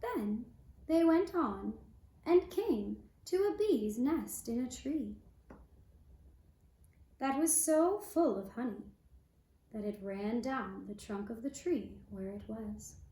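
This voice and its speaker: A woman storyteller narrating a fairy tale for a puppet show in a measured, deliberate way. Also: a little high, animated, warm tone. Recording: roomy, echoing sound.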